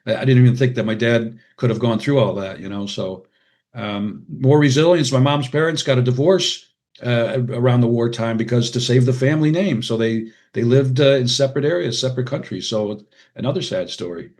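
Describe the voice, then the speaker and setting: A man's voice: mid-range voice, a little fast, a little mellow. Older American man, casual and anecdotal. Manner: conversational, anecdotal, often quoting others. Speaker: podcast guest, retired radiologist and author. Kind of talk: casual reminiscing in an interview.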